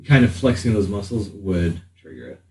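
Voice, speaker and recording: A deep-voiced man narrates a tabletop game as game master. He talks slowly and haltingly, pausing mid-sentence. The recording is roomy, with faint noise. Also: sing-song, gravelly, warm tone, distant mic.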